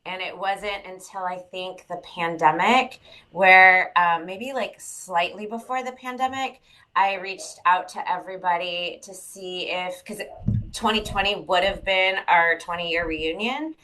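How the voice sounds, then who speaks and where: Bright-voiced woman, lots of ums. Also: on the low side, unhurried, rough recording. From California. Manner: hesitant, many fillers. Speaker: guest, former pop singer. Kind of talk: casual interview chat.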